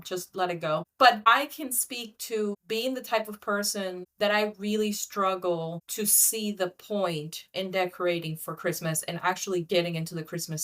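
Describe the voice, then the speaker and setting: A woman from Cuba speaks candidly in a relaxed podcast chat. Her voice is mid-pitched and she tells her stories in an animated way with quick asides. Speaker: podcast co-host. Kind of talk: casual podcast conversation.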